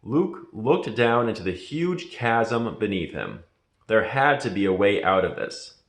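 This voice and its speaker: A man with a fairly low voice reads a storybook aloud. His narration is deliberate and dramatic, with lots of rise and fall. The recording has a clear room echo. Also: very animated. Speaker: storybook reader. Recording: echoey room.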